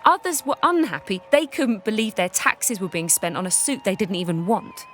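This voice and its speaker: A woman's voice: medium pitch, sing-song, normal speed, a bit bright, a little hiss or hum. A woman playing a cheeky, reluctant storyteller, narrating a satirical unfairytale with wry, informal asides. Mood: storytelling.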